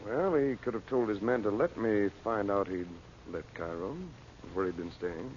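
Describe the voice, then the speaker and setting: An actor playing a worried but resolute hero in an old radio serial, speaking earnestly and firmly. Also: male voice, on the low side, moderate pace, darkish tone, lightly acted. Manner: earnest, firm. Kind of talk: 1940s radio serial acting. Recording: muffled, noisy old radio recording.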